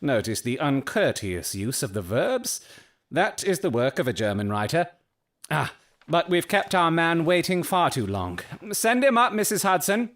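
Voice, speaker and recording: An actor playing a brilliant, eccentric Victorian detective in an audio drama, speaking with precise, clipped self-assurance. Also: male voice, a little high, very animated, a little slow, a bit bright, heavily acted. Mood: confidently deducing.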